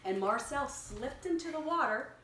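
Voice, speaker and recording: A woman reading a picture story aloud to a young child, pausing to explain words simply and prompt repetition. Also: high-pitched, lively intonation, fairly bright tone. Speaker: children's story reader and teacher. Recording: echoey room with clear background noise.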